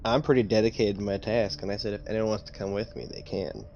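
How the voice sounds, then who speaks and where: Gravelly man on a dull, noisy mic. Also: fairly low pitch, steady tone, quick pace, a little mellow. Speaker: tabletop game player. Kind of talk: casual in-game chat. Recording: dull muffled mic with background noise.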